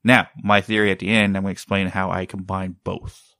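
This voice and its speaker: A man talks in a low voice, loose and meandering. He often stalls to search for the right word. The sound is dry, with no room echo. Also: level tone, a little fast, bright, forceful tone. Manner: rambling, often searching for words. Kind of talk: casual chat.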